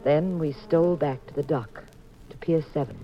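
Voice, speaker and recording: A woman's voice: low voice, moderate pace. Muffled 1950s radio drama with music behind. a detective's wife, a woman of wry wit and keen curiosity who is easily made squeamish. She both narrates and acts in the mystery. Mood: wry, suspenseful.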